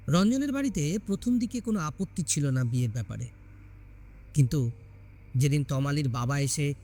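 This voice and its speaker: A man's voice: on the high side, a little mellow. A man reading a scripted horror story as a dramatic narrator.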